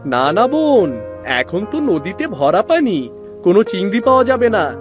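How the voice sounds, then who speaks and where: Cartoon big brother, acted: young village boy, brave, reassuring, very animated delivery, faint music behind. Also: mid-range voice, a little slow. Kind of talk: cartoon story voice acting.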